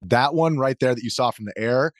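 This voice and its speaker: A man's voice: medium pitch, brisk, dry recording, clean studio sound. A man giving an informal, matter-of-fact first-hand account as an interview guest. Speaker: interview guest, video journalist. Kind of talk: casual first-hand recounting.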